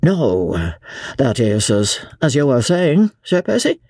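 A woman voicing a tense, scheming man in an audiobook, halting and distracted as he feigns unconcern. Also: very deep voice, sing-song, dark tone, slightly rough, no room sound, studio quality, exaggerated voice. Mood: distracted, impatient. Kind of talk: dramatised audiobook character voice.

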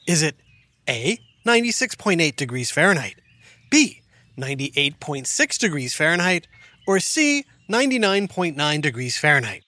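A woman's voice: deep voice, sing-song, moderate pace, sharp tone, no room sound, voice pushed down, exaggerated voice. A woman voicing a friendly kids' show narrator with a stuffed-up nose from a bad cold, quizzing listeners, recorded dry in a studio. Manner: quizzing kids with multiple-choice questions.

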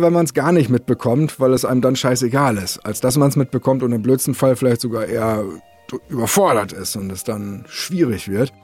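A man's voice: mid-range voice, a little mellow. A man hosting a casual podcast, talking in a rambling, self-deprecating way that jumps from thought to thought. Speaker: podcast host.

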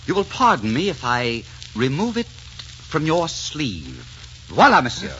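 An actor playing a suave, daring French barber who poses as a duke, gallant, teasing and theatrical in 1940s radio drama style. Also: male voice, a little high, wildly swooping pitch, a little slow, fairly bright tone, slight background noise, exaggerated voice. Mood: smoothly menacing. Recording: dull 1940s radio recording with music.

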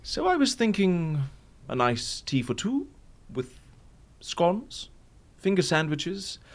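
Bumbling gent in radio comedy, swooping expressively, unhurried between bursts. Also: male voice, high-pitched, very animated, exaggerated voice. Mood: earnest. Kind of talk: audio drama comedy acting.

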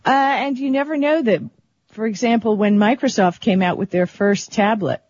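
A woman's voice: fairly high pitch, normal speed, a little mellow, no echo, highs cut off. Upbeat woman co-hosting a tech chat. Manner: upbeat, laughs often, steers the topics. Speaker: co-host of a tech show.

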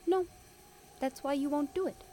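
An actress playing a gentle, steadfast, kind-hearted woman in an audio drama, speaking in a simple, sincere, deliberate way. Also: high-pitched, background noise, lightly acted. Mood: calm, brave.

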